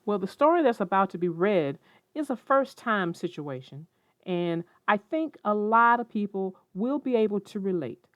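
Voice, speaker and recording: A woman's voice: mid-range voice, lively intonation, moderate pace, dry recording. A woman host narrating a children's storybook as a read-aloud. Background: music, plucked guitar.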